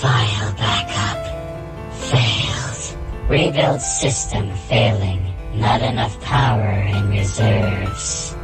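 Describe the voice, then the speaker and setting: A man's voice: on the low side, extremely slow, full character voice. A man voicing a cold, failing robot that gives clipped system announcements in a comic dub. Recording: clear music under the voice. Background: background music.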